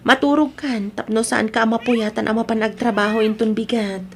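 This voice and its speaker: Patient, wounded wife, female actor, Filipino accent: gentle pleading, fairly high pitch, radio drama. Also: fairly even intonation, brisk, close to an everyday voice. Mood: gentle, hurt. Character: gentle, long-suffering young wife, hurt but patient. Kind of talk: radio-style audio drama acting.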